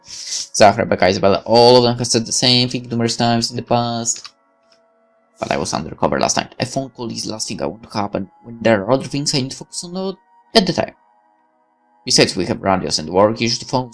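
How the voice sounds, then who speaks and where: A man's voice: mid-range voice, brisk, gravelly, faint music behind. A man reading a visual novel's text aloud as dramatic, sardonic first-person narration. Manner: expressive, sardonic. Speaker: let's player reading game text.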